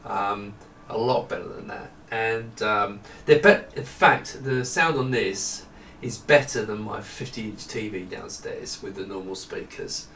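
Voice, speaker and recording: A man's voice: on the low side, a little slow, few pauses, fairly bright tone. An English man giving an informal, unscripted product demonstration to camera in a rambling, explanatory way. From England. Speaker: presenter of his own product design video. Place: indoor room with studio lighting. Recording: roomy echo, distant mic, faint noise.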